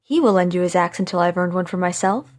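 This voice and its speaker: A woman voicing a young male scholar in a storybook, a cheerful, fearless son with a calm, confident manner. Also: lowish voice, fast talker, close to an everyday voice. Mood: reassuring. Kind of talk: storybook character voice.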